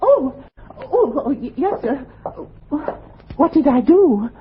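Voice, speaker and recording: A woman's voice: sits high, big pitch swings, takes it slowly, a loud crowd, full character voice. An actress playing an eager, deferential landlady, nervous and anxious to please, in 1940s radio drama style. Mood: flustered. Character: eager, deferential, flustered working-class landlady wife. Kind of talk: 1940s radio suspense acting. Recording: muffled, noisy 1940s radio recording.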